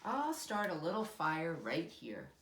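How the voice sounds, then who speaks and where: An eager little storybook boy, acted out by a woman, full of bossy make-believe. The delivery swings up and down with dramatic excitement, in an echoey room. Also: deep voice, a little slow, slight background noise, heavily acted. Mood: cheerful, bossy. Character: eager, imaginative little boy playing pretend.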